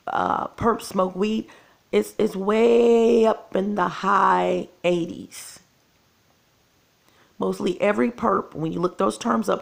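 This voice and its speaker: A woman's voice: medium pitch, gravelly. A woman, losing her voice, talking to her viewers in an earnest, repetitive casual vlog monologue. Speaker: channel host.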